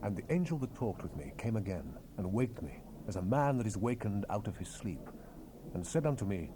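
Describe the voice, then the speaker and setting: A man narrating scripture in a solemn, measured, theatrical manner, as part of a dramatized Bible recording. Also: on the low side, very animated. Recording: hissy 1970s cassette rip.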